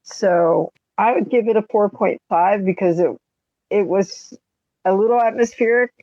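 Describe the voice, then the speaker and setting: A woman's voice: mid-range voice, unhurried, dark tone, dry recording. A woman co-hosting a podcast, giving emphatic opinions in casual chat over a slightly dull, lo-fi microphone. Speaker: podcast host. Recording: slightly dull, lo-fi mic sound.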